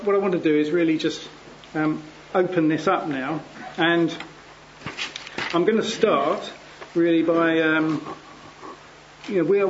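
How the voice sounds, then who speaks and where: A man's voice: a little high, lo-fi sound, slight background noise. Hesitant man chairing a conference panel. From England. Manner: hesitant, many ums and restarts. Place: conference hall.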